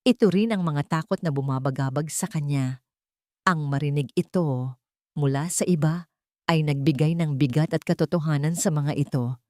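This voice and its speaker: A woman's voice: deep voice, normal speed, dark tone, no room sound, studio quality. A woman reading a scripted romance story as narrator in a dramatic storytelling style.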